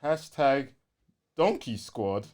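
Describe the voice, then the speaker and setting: Animated London man with slangy delivery. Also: mid-range voice, takes it slowly. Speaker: podcast co-host. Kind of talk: casual gaming podcast chat.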